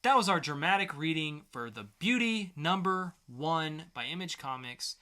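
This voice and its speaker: Bright-voiced man hosting a casual podcast. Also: on the high side, lively intonation, normal speed. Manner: swears casually. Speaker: podcast host.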